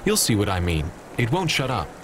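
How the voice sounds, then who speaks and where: An actor playing a curt, weary parcel clerk in a sci-fi video game, with a dry manner. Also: male voice, on the low side, very animated, a little fast. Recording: noisy outdoor vehicle ambience.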